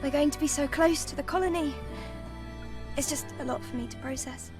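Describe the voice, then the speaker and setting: Woman as a very high, girlish young engineer. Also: brisk, natural voice. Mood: uneasy. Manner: girlish, expressive, earnest. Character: young, eager, anxious female engineer sidekick. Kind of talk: video game voice acting. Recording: slightly distant voice over music.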